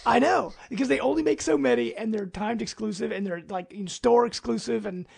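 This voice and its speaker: High-pitched, creaky-voiced American man. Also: fairly quick, darkish tone. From United States. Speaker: podcast host. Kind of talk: casual chat.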